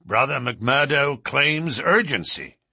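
A man voicing a hearty, domineering male Irish lodge boss in a storybook, booming and ceremonious. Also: expressive, slow, pushed and bright, husky, no room sound, clean studio sound, heavily acted. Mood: commanding.

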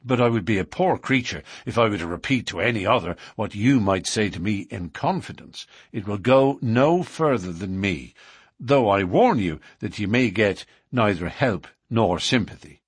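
A male narrator acts out a bold, wary storybook man who speaks firmly and bluntly. His challenges swing widely in pitch, with a husky edge. The sound is dry and close. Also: animated, moderate pace, no echo. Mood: frank, protesting. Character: stern, bold, wary newcomer man, loyal lodge member. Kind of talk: audiobook character dialogue.

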